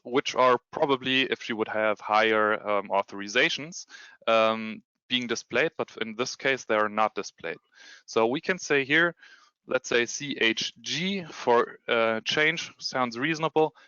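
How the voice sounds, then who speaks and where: A man's voice: medium pitch, unhurried, pushed and bright, clear, resonant tone, dry recording, highs cut off. German-accented man presenting, hesitant. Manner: hesitant, many ums and restarts. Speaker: security consultant presenting a demo. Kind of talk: webinar presentation with screen share.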